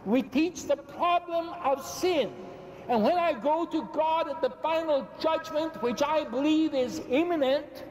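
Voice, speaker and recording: Older Irish-born preacher, high and ringing. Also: male voice, a little mellow, slight background noise. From Ireland. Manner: emphatic, repetitive building phrases, gesturing widely. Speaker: bishop debating in a public debate. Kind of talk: impassioned preaching to a large audience. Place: large indoor arena stage. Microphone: podium microphone, speaker often stepping away. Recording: echoey arena with long reverb.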